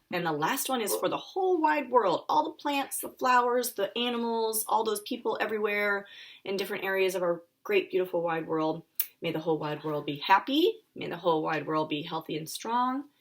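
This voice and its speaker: A woman, an author, reading her children's picture book aloud and talking it through for a young audience, with expressive delivery. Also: mid-range voice, moderate pace. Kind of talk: live children's story time reading and friendly explanation.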